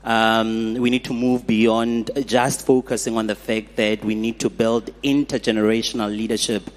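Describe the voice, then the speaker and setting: A man's voice: lowish voice, little pitch movement, moderate pace. A man from South Africa giving earnest remarks from the floor at a conference, with frequent fillers. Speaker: conference delegate. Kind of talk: audience remarks. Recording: hall reverb.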